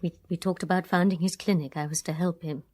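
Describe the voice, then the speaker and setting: An actress plays a young Englishwoman in a radio drama who has been hurt and keeps her guard up. Her voice is slightly low. She speaks bitterly and haltingly, as if each admission costs her. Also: steady tone, darkish tone, lightly acted. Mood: guarded, confessing. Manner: hesitant, halting confessions. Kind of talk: full-cast radio drama acting.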